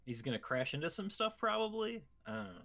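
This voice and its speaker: A man's voice: fairly high pitch, big pitch swings, quick pace, sharp tone. A man co-hosting a gaming show, talking casually about video games.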